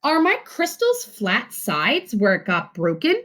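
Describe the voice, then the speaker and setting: A woman voicing a curious, eager young girl in a children's story, questioning and excitable. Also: a little high, measured pace, heavily acted. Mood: doubtful, curious.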